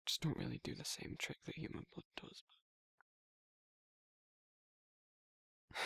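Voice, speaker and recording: A man's voice: medium pitch, pushed and bright, dry recording, exaggerated voice. A man playing a protective, awkward werewolf in an intimate ASMR roleplay, speaking breathily and hesitantly, often near a whisper. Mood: tender, confiding. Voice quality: husky, breathy, gravelly, often dropping to a whisper. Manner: hesitant, rambling, bashful, informal. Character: protective, awkward, soft-hearted male werewolf confiding in a friend.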